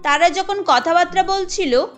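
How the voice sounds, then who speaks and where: Woman storyteller, high voice, narrating a cartoon tale, soft music behind. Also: normal speed.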